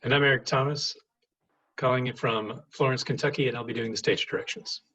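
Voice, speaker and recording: A man reading stage directions as scripted narration, with a husky voice. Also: medium pitch, fairly even intonation, brisk, sharp tone, poor audio. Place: indoors, on a video call.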